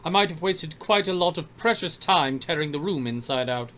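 Gentleman thief villain male actor, smug and threatening, mocking polish, old-time radio melodrama. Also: a little high, animated, moderate pace, slight background noise. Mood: gloating. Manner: mocking, suave.

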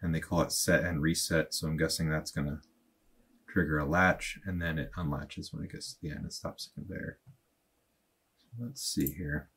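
Low husky man thinking aloud. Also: little pitch movement, fairly bright tone. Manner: thinking aloud, plain and unhurried, with fillers. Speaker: automation tutorial host. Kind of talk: casual screen-recorded tutorial. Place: small indoor room at a desk.

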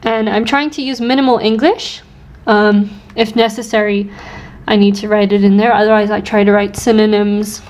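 A woman's voice: mid-range voice, level tone, moderate pace, darkish tone. A woman in her twenties chatting casually and self-deprecatingly as she shows her study notebooks, laughing now and then. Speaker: language learner vlogger. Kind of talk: casual show-and-tell narration.

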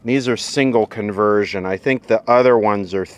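A man's voice: fairly low pitch, normal speed, background noise. A man giving a casual, unscripted hands-on demonstration as a video host, dry and wry in manner. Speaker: video host and radio repair hobbyist.

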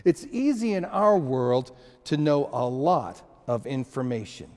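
A man in his sixties preaching a sermon in a church sanctuary, slow and measured, with frequent restarts and emphatic pauses. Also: medium pitch, sing-song, a little mellow, long reverb tail. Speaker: pastor.

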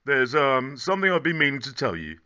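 A man's voice: mid-range voice, big pitch swings, fairly quick, sharp tone, highs cut off, pitched above the performer's own voice. A man voicing a kindly, adventurous old grandad from a storybook, with a hearty, reassuring manner. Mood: gentle, reassuring.

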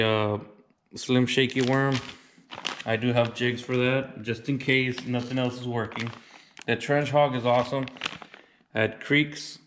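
Man, 30s, fishing vlogger, casual show-and-tell, even steady pitch, camera mic, faint noise. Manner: relaxed, plainspoken, says "y'all". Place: indoors, then a wooden deck.

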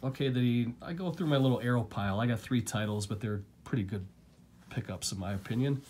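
A man with a lingering cold, casually chatting through a relaxed, rambling unboxing of his new discs, recorded indoors at home. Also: mid-range voice, fairly quick, a little hiss or hum. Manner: relaxed, rambling, filler-heavy. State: getting over a cold, coughing. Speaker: collector vlogger.

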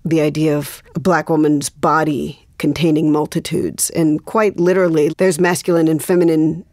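An actress answering interview questions in a reflective, deliberate, articulate way. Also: female voice, deep voice, flat delivery, a little mellow, no room sound, studio quality.

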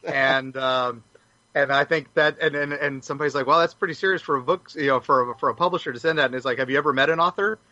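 A man on a podcast panel telling a story casually in a halting, stop-start way. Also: medium pitch, pushed and bright, no room sound, highs cut off. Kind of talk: casual fan discussion.

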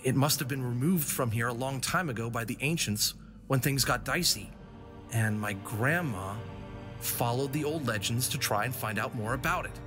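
A man playing an adventurous, quick-thinking fox explorer in an audio drama, with an excitable, earnest manner. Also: medium pitch, lightly acted. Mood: thoughtful, intrigued. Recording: loud music under the voice.

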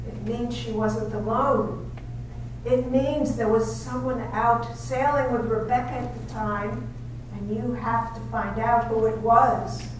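Woman acting as a high-voiced, earnest young wife, slow and imploring, far from the mic in an echoey room. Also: fairly even intonation. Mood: anxious. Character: shy, devoted young wife pleading with her husband. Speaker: amateur theater actor. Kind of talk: 1930s-style radio drama acting. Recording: distant echoey room with faint noise.

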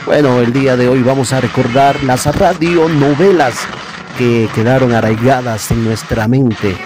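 A man's voice: medium pitch, unhurried, noisy background. A man hosting and introducing a nostalgic compilation for his followers. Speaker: channel host.

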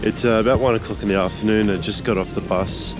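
Deep-voiced man vlogging over loud music. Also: steady tone, fast. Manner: weary. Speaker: travel vlogger. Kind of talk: casual vlog chat to camera. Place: outdoors by a street and in a hotel room. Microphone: camera held at arm's length.

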